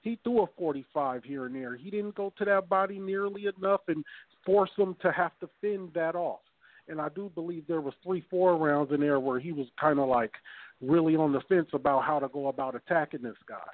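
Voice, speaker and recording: A man's voice: a little high, no room sound. A man in his thirties co-hosting a podcast in loose, rambling casual talk, swearing freely and restarting his sentences. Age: 30s. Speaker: podcast co-host. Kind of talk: casual boxing chat. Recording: tinny phone-line sound.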